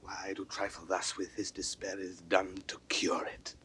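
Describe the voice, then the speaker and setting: A man playing a disguised young nobleman, tender and urgent, in theatrical classical Shakespearean acting that moves between hush and exclamation. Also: deep voice, monotone, a little slow. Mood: anxious. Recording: distant, noisy 1960s studio drama recording.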